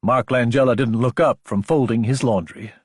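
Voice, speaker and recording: A man's voice: medium pitch, moderate pace, husky, dry recording, studio quality. A man reading scripted fiction as an audiobook narrator.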